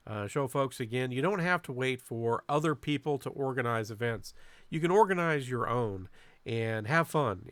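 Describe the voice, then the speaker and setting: A man's voice: medium pitch, fairly bright tone, no echo. Man narrating casually, dry close sound. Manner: hesitant, halting phrasing with frequent pauses and repeated words. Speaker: amateur radio club presenter. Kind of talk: casual unscripted video narration.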